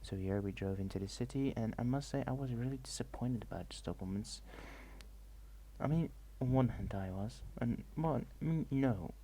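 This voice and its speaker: Soft-spoken man with a gravelly voice. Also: medium pitch, lively intonation, normal speed. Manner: rambling, hesitant, mixes soft talk with whispers. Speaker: ASMR vlogger. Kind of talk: soft-spoken travel vlog narration. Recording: faint ambient noise.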